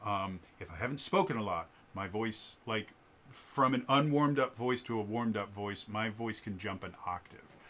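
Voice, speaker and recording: A man with a bass voice talking through a casual vlog commentary, explaining things in a chatty way with many fillers and a stutter on repeated sounds. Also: normal speed, bright, forceful tone, slight background noise. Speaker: vlogger.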